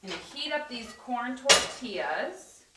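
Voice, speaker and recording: A woman hosting a casual live cooking stream from her home kitchen, chatty and upbeat, with a loud teacher voice, picked up by a camera across the room. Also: a little high, big pitch swings, slow. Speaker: cooking livestream host and coach. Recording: echoey kitchen with faint noise.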